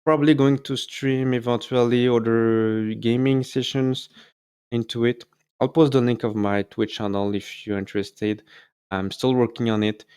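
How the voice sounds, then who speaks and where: A man's voice: medium pitch, little pitch movement, normal speed, a little mellow, clean tone. A man with a French accent, a native French speaker, hosting a casual solo video and talking hesitantly with many fillers and self-corrections. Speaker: YouTube host.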